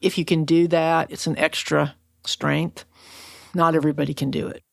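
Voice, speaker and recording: A woman interviewer with a noticeably creaky, slightly low voice chats informally as a podcast host. Her delivery is plainspoken and casual, and the recording is dry and close. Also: level tone, normal speed, no echo.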